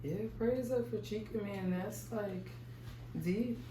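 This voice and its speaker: A woman's voice: mid-range voice, takes it slowly. Chatty woman hosting a podcast, jumps around topics, echoey room, a bit off mic. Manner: chatty, jumps between topics, frequent "mm-hmm"s. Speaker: podcast host. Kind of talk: casual chat. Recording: echoey room, mic at a distance, faint noise.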